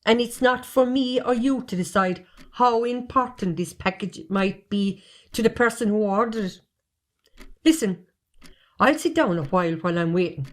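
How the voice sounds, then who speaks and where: A woman's voice: medium pitch, lively intonation, moderate pace, lightly acted. A woman plays a gossipy country post carrier with an Irish accent and a mid-range female voice. The talk is folksy, sly and roundabout, in amateur stage-play style. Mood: teasing, stubborn. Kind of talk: amateur stage comedy-drama acting.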